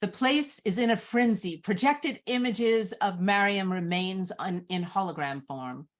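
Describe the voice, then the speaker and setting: A woman reading stage directions as narrator at a live screenplay reading, in a measured, descriptive manner. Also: mid-range voice.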